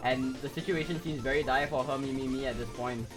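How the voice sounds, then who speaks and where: This man is a live esports caster who gets excitable at the big moments. His voice is wearing hoarse from the shouting. Game music plays clearly underneath him. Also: medium pitch, brisk. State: going hoarse. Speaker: esports commentator.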